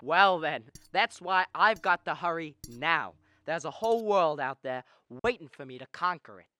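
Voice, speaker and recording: Young woman playing restless young man, bright voice, earnest, halting, eager dreamer, radio drama. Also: sits low, animated. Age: young adult. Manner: earnest, halting with stammered hesitations. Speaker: student actor.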